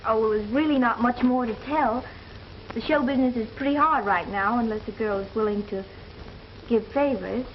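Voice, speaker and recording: A woman's voice: on the high side, normal speed, lightly acted. An actress playing a guarded young showgirl in a 1930s American film comedy, with dry, cool retorts. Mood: friendly. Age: young adult. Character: wry, guarded young showgirl down on her luck. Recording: muffled, noisy 1930s film soundtrack.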